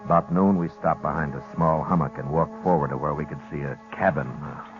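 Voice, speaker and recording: An actor playing a steady, weary, authoritative American frontier marshal in a 1950s radio western, measured and laconic. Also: male voice, rumbling low, soft background music. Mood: grave narrating. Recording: dull lo-fi old radio recording.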